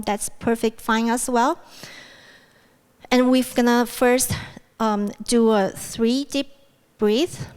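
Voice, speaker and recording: A woman whose first language is Mandarin leads a guided grounding exercise at a podium microphone, speaking gently with many fillers. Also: fairly high pitch, smooth. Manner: gentle, unhurried, with frequent fillers and restarts. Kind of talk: guided mindfulness exercise at a plenary. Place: university event room with a loud air-conditioning fan.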